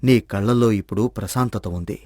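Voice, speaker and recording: A man voicing a serene, kindly old sage in a storybook tale, with a measured, preaching and benevolent manner. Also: on the low side, dark tone, no echo, studio quality, natural voice. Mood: calm, benevolent. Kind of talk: scripted moral-story dramatization.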